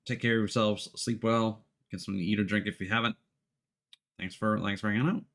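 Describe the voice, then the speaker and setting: A man's voice: fairly low pitch, fairly even intonation, a little fast, fairly bright tone. A man giving casual, rambling video game commentary as a live streamer, hesitant and stumbling over his words. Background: game music.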